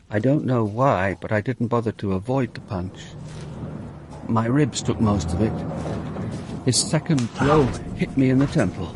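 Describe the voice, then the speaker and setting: An actor playing a dogged, dry police inspector in a radio crime drama, curt in questioning and measured in narration. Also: male voice, medium pitch, a little mellow, slight background noise, lightly acted.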